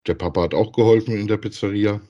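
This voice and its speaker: A man's voice: fairly low pitch, steady tone, quick pace, dark tone, highs cut off. A man co-hosting a podcast, chatting casually and with some amusement as he reviews audio dramas. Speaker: podcast co-host.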